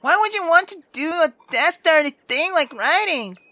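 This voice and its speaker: A woman's voice: extremely high pitch, animated, moderate pace, pushed and bright, no echo, voice pushed up, heavily acted. A woman voicing a brash, sarcastic sixteen-year-old girl who is theatrical, teasing and foul-mouthed in a visual novel read-aloud.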